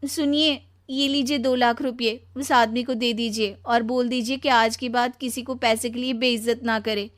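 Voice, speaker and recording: A woman's voice: a little high, steady tone, moderate pace, few pauses, lightly acted. A woman voicing a demanding, coaxing young wife in a story, emphatic and cajoling. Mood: earnest, caring.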